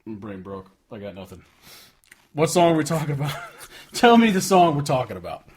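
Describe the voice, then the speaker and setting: A man's voice: a little high, big pitch swings, a little fast, husky. Emphatic, rambling, swearing male podcast co-host. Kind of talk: casual music discussion. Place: indoors, remote call between hosts.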